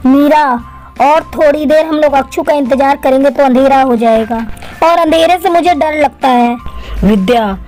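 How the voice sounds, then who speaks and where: A cautious, loyal young schoolgirl, voiced by a woman in a children's cartoon. She sounds high-pitched and timid, with quiet music behind her. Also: moderate pace, lightly acted. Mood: anxious. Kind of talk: Hindi cartoon story dialogue.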